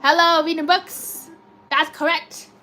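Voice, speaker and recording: A woman with a robotic-sounding voice chatting excitedly through a live slot machine stream, reading and answering chat comments. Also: very high-pitched, a little slow, soft background music. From United States. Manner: chatty, excitable cheering at spins.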